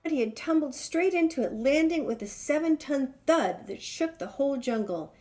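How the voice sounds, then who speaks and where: A woman's voice: high voice, lively intonation, normal speed, darkish tone. A woman reading a children's storybook aloud expressively. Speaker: storybook reader.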